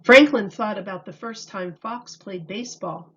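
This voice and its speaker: A woman reading a children's story aloud like a teacher, in a deliberate, expressive way. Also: medium pitch, muffled recording.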